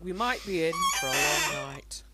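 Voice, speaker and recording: A woman playing an older, fond working-class mother with a creaky voice in a wartime radio play. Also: sits low, expressive, normal speed. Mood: fond, teasing. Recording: clear background noise and clicks.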